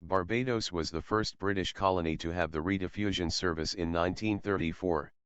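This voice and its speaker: A man's voice: low voice, brisk, clear, resonant tone, no echo, dull audio. A man reading a scripted documentary narration.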